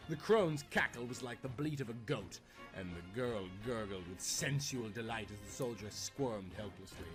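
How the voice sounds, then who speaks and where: A man's voice: fairly low pitch, animated, moderate pace. A man reading a scripted tale as a theatrical, dramatic storyteller. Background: music.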